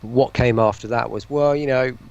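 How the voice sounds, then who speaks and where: A man giving informal, halting answers as a guest in a casual chat. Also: mid-range voice, fairly even intonation, a little mellow, muffled recording. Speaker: interview guest, voice pack producer.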